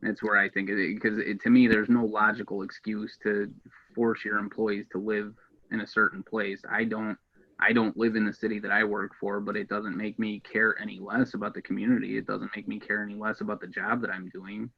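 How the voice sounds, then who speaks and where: Even-toned American man, dull lo-fi sound. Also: lowish voice, brisk, gravelly, breathy edge. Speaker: police dispatcher, podcast guest. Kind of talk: casual interview talk.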